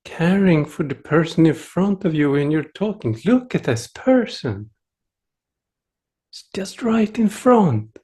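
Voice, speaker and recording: A middle-aged Swedish man whose first language is Swedish gives a meditation talk in English with a Swedish accent, over a video call. His voice sits a little high for a man, and he speaks very slowly, pausing to find his words. He sounds gentle and playful and chuckles often as he teaches. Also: animated. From Sweden. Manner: unhurried, with pauses and searching for words. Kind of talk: dharma talk at an online retreat.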